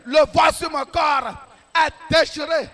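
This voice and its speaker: A man preaching a fervent deliverance prayer, shouting declarations and repeating each phrase many times. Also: very high-pitched, steady tone, a little slow. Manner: repetitive declarations, fervent commanding tone.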